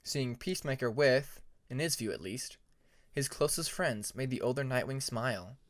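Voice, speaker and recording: A man's voice: mid-range voice, moderate pace, dry recording. A man reading a fantasy story aloud as a scripted audiobook narration. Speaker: audiobook narrator of fan fiction.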